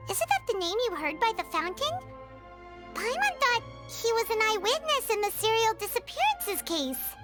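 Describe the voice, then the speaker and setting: Squeaky tiny fairy girl, very high. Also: full character voice. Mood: shocked, appalled. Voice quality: squeaky, childlike. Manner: third-person self-reference, exclamatory, expressive. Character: tiny floating fairy companion girl, excitable, childlike, easily frightened. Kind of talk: animated video game voice acting. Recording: music behind the voice.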